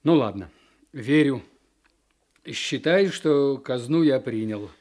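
Gruff, wry official in charge. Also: male voice, medium pitch, animated, a little mellow. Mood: dry authority. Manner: brisk questioning, dry authority. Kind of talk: radio play acting.

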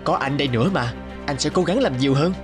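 A man playing a gentle, doting working-class young husband in a cartoon, caring and plain-spoken. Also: fairly high pitch, fast, over music, lightly acted. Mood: protective.

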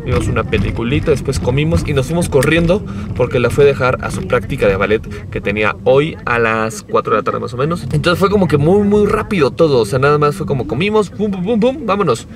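A young Mexican man chatting casually to his vlog in a playful, animated storytelling way while driving. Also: mid-range voice, quick pace, crowd noise. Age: young adult. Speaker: vlogger. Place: inside a moving car. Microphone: camera mounted on the dashboard. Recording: car cabin with clear road noise.

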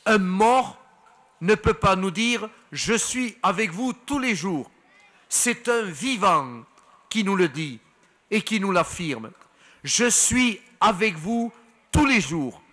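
An older man, a priest, preaching slowly and solemnly to the faithful at an outdoor shrine. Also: sits high, lively intonation, bright, forceful tone. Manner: slow, solemn, addresses the faithful. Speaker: priest leading the rosary. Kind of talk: prayer, hymn and short homily. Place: outdoor shrine grotto before a crowd. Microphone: headset mic and lectern mic. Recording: roomy outdoor sound with crowd ambience.

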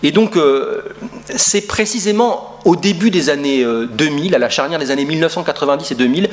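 A man's voice: a little high, fairly quick. Middle-aged male lecturer, hesitant and full of fillers, lecture room, distant camera. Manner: hesitant, many fillers and restarts. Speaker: urban historian lecturer. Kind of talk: academic lecture.

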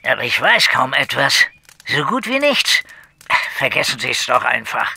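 A man playing a weary, evasive police investigator in a crime radio play, gruff and blunt, with a husky, gravelly voice. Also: mid-range voice, very animated, normal speed, pushed and bright, exaggerated voice. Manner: blunt, hesitant, throat-clearing. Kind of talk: German crime audio drama dialogue.

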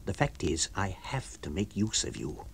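A man voicing a menacing, imperious villain in an audiobook, commanding and threatening with quiet emphasis. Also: low voice, very animated, normal speed, gravelly, breathy edge, full character voice. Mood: cold threat. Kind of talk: audiobook character voice.

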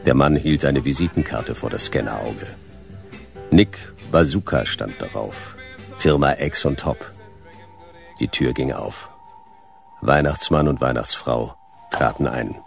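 A world-weary private eye in a noir radio drama. His voice is extremely low and gravelly, moving in terse, laconic sentences with expressive swings over background music. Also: a little slow, long pauses, exaggerated voice. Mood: dry narrating. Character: hard-boiled, world-weary male private detective. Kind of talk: noir radio play narration and dialogue.

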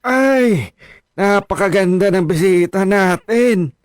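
A man's voice: high voice, slow pace, no echo, studio quality. An older actor playing a teasing, affectionate elderly father in a radio drama. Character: ailing elderly father, teasing, eager for grandchildren.

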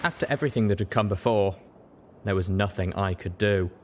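A man's voice: lowish voice, brisk, noisy background. A man reading scripted story narration as an audiobook narrator. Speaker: audiobook reader.

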